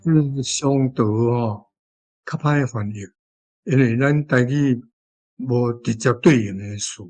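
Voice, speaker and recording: Mid-pitched Taiwanese man teaching. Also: takes it slowly, darkish tone. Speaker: language teacher giving model translations. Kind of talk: explanatory teaching.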